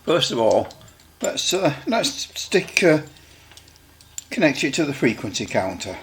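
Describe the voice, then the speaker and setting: Halting man casually demonstrating equipment. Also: mid-range voice, sing-song, fairly bright tone, slight background noise. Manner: halting, rambling, repeats himself. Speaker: radio hobbyist presenter.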